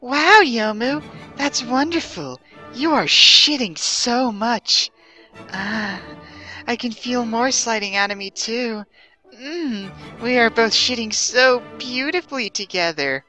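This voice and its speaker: Doting highborn lady acted by a man, slow, bright and high, indulgent, syrupy, parody story reading. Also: expressive, faint music behind, heavily acted, low-quality recording. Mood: delighted. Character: doting, indulgent noble mistress, a woman. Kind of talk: dramatic reading of fan fiction.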